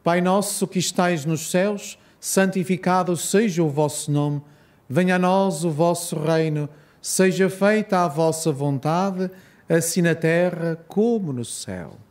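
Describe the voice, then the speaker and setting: A man with a European Portuguese accent leading a rosary, reciting solemn prayers in a measured, reverent way. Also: a little high, a little mellow.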